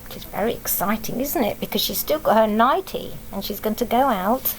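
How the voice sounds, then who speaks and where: High-voiced English woman reading a storybook. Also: brisk, slight background noise, low-quality recording. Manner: expressive, explaining, asks tag questions. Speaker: teacher reading to children. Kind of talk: storybook read-aloud with asides to young children.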